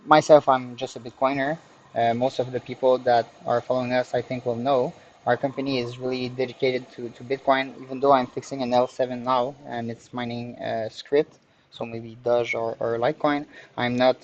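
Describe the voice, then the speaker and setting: A man's voice: medium pitch, darkish tone, lo-fi sound. A man with a Quebec French accent, a native French speaker, chatting through a live hands-on repair tutorial as the host and technician, often searching for his words. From Canada, French-speaking province.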